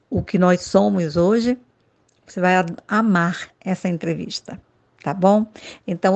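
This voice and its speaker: Gravelly-voiced enthusiastic woman. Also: fairly low pitch, a little mellow. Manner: enthusiastic, chatty, frequent tag questions. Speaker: channel host, radio soap producer. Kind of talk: casual video introduction.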